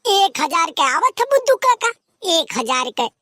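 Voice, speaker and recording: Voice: extremely high pitch, very animated, unhurried, bright, forceful tone, dry recording, studio quality, heavily acted. A performer voicing a cheeky, scheming village lad in an Awadhi comedy cartoon, with an Awadhi accent and a teasing, argumentative manner. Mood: coaxing.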